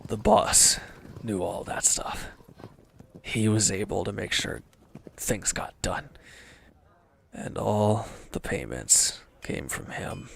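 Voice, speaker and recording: Man acting a gravelly, wounded, sullen villain. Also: fairly low pitch, expressive, fairly bright tone, exaggerated voice. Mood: despondent, weary. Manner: sullen, halting, sighing, dropping into near-whispers. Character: wounded, defeated male villain henchman, bitter, defensive, despondent. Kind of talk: intimate audio roleplay drama.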